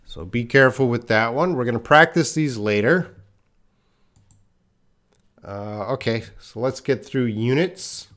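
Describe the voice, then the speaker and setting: A man's voice: medium pitch, fairly bright tone. A man from Canada teaching an English grammar lesson live online, explaining patiently and reading out viewers' comments. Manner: slow, patient explaining, reads chat comments aloud.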